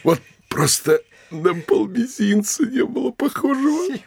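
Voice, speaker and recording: An actor playing an enraged, humiliated middle-aged town mayor in a theatrical radio play, declaiming from mutters to roars. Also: male voice, very high-pitched, sing-song, unhurried, gravelly, darkish tone, breathy edge, heavily acted. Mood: dazed self-reproach.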